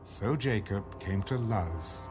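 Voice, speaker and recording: A man with a fairly low voice narrates an audio Bible in a measured, formal style. His intonation is lively, and music plays clearly behind him.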